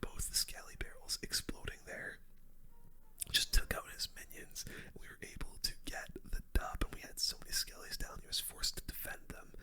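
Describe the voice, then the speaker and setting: A host delivers ASMR gaming commentary entirely in a whisper. The wording is casual and slangy, explaining play as it goes in a breathy, unvoiced hush. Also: moderate pace, low-quality recording. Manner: whispering throughout.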